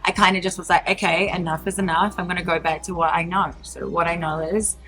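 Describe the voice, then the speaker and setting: A woman's voice: lowish voice, level tone, fairly quick, sharp tone, faint music behind, low-quality recording. A woman in her thirties with a New Zealand accent, chatting as an interview guest with many fillers and frequent laughs. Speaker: guest, entrepreneur. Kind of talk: casual interview talk.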